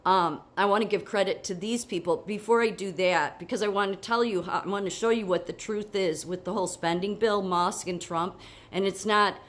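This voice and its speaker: A woman's voice: medium pitch, fairly even intonation, moderate pace. A woman with a cold and a sniffle, chatting casually about the news and reading an article aloud, with many restarts and asides. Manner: chatty, frequent restarts and asides, asks "okay?". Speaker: political commentator.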